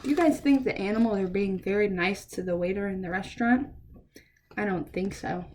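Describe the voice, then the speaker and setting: A woman reading a picture book aloud to children in an expressive way, pausing to ask her listeners questions. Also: mid-range voice, rough recording. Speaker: read-aloud storyteller for children.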